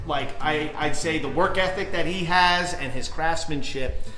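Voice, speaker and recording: A man's voice: a little high, measured pace, bright, forceful tone, soft background music. A man hosting a casual house tour, upbeat and chatty with frequent fillers. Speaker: real estate investor and host. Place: house under renovation, indoors. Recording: echoey room.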